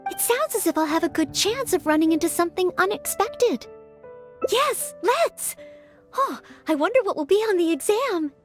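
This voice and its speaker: A woman voicing a polite, eager young maid adventurer in an anime-style video game, gentle and earnest. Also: very high voice, animated, moderate pace. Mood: polite, curious. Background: music.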